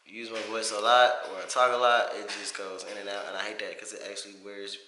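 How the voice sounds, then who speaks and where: Bright-voiced man vlogging in an echoey room. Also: fairly low pitch, little pitch movement, fairly quick. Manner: informal, rambling, self-reflective. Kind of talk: casual personal update to camera. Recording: echoey room with faint hiss.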